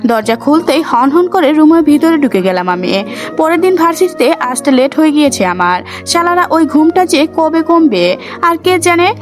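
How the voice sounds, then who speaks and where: A woman tells a dramatized first-person story in a high voice that swings freely up and down. Soft music runs behind her narration. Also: expressive, normal speed.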